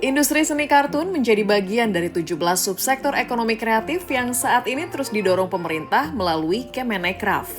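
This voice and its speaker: A woman's voice: on the high side, animated, fairly quick, hardly any pauses. A woman reading scripted news narration as a reporter's voice-over. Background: background music.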